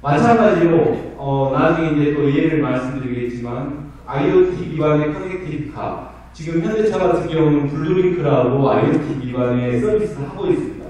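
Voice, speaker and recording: Hoarse middle-aged man speaking in an echoey hall. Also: a little high, moderate pace, darkish tone, distant mic. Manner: polite, conversational lecturing. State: hoarse from a cold. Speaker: conference presenter. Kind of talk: conference presentation. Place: large conference hall.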